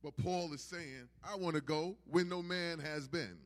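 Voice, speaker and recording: A man's voice: on the high side, a little slow. A man preaching a sermon in an emphatic, repetitive preaching cadence. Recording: long hall reverb.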